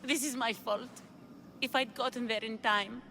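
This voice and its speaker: A woman's voice: a little high, steady tone, a little slow, bright, forceful tone, faint music behind. An actress playing a grieving young woman longing for her lost lover in a video game cutscene, speaking in an earnest, heartfelt way. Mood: sorrowful.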